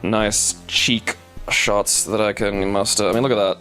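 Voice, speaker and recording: A man with a slightly low voice banters as a co-host over a game. He is casual, irreverent and crude, often talking in a mock-earnest way. Music plays behind him. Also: flat delivery, a little slow, a bit bright. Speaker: co-host of a retro game commentary show.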